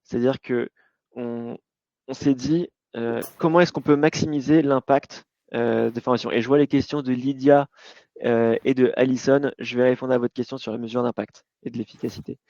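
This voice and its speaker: A man's voice: medium pitch, steady tone, normal speed, a little mellow, no room sound. A man speaking as a company founder in a webinar, informal and stammering, telling an example. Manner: informal, stammering restarts, storytelling tone. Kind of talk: casual webinar talk. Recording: dry, dull lo-fi call audio.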